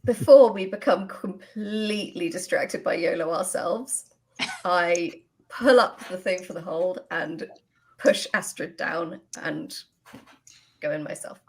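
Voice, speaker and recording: Creaky, slow-speaking woman in a tabletop game. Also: fairly low pitch. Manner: deliberate, formal phrasing. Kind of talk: improvised in-character role-play.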